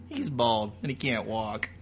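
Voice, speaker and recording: A man's voice: medium pitch, sing-song, slow pace, a little hiss or hum. A man cracking crude, irreverent jokes as he riffs over a comic film, sometimes slipping into silly voices. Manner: breaks into silly put-on voices and noises. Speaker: comedy riffer. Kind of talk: joking riff commentary over a comic film.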